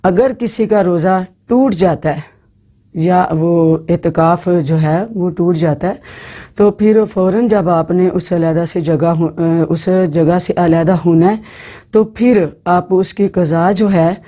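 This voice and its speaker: Mellow-voiced woman giving religious instruction. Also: on the low side, slow. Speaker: religious teacher. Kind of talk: informal Islamic religious instruction to women.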